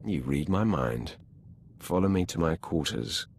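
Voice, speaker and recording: Game role: male Sith master, controlled and authoritative, rock-bottom pitch with creaky grit, noisy ambience. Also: animated, unhurried, darkish tone, full character voice. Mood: cool, seductive. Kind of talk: video game voice acting.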